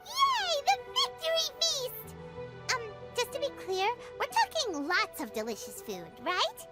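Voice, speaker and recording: A woman voicing a tiny, greedy, excitable female companion sprite in a video game, with a squeaky high voice and an eager, bouncy way of talking. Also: unhurried, music in the background, full character voice. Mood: excited. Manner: bouncy, eager, food-obsessed.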